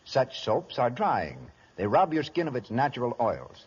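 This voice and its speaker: Animated American radio announcer, husky voice. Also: male voice, medium pitch, normal speed. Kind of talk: 1930s radio announcing and sponsor ad. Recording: muffled, echoing vintage radio broadcast.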